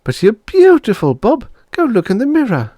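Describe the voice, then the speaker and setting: A man's voice: extremely high pitch, wildly swooping pitch, normal speed, dark tone, no echo, studio quality, voice pushed up, exaggerated voice. A man voicing an eager, whiny little girl, a kid sister in an audiobook story. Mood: awed, eager. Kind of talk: audiobook character voice.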